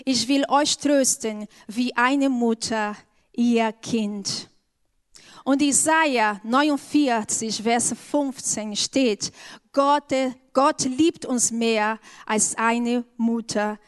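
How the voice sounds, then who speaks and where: Slow earnest woman with Brazilian accent. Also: a little high, smooth. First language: Portuguese. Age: adult with an eleven-year-old daughter. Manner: slow, earnest, careful phrasing. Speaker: church speaker. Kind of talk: personal testimony talk.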